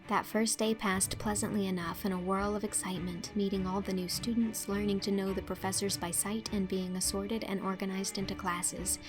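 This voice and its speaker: A woman reading a literary story as an audiobook narrator, with expressive, clearly phrased storytelling. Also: medium pitch.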